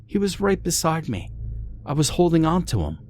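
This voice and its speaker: A man's voice: fairly high pitch, sing-song, normal speed, darkish tone, slight background noise, pitched above the performer's own voice. A man voicing a frightened, earnest teenage daughter who pleads and exclaims in a horror story. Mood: anxious, defensive.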